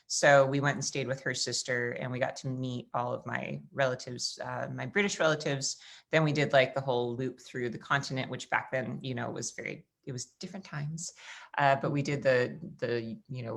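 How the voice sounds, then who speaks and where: A woman's voice: very deep voice, gravelly, fairly bright tone. An American woman in her forties, host of a casual video chat, telling rambling stories with lots of fillers. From United States. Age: 40s. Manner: chatty, rambling storyteller with frequent fillers. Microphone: video call.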